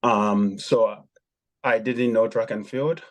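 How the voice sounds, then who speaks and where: A man with a somewhat low voice tells his story as a podcast guest. He speaks haltingly, filling pauses with frequent ums. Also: steady tone. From Ghana. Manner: hesitant, many ums and pauses. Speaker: guest, former javelin athlete.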